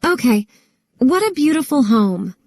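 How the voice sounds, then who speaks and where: A woman's voice: high-pitched, big pitch swings, unhurried, a little mellow, dry recording, studio quality, heavily acted. A woman voicing a proud, resourceful young pig in a children's story, eager and plain-spoken.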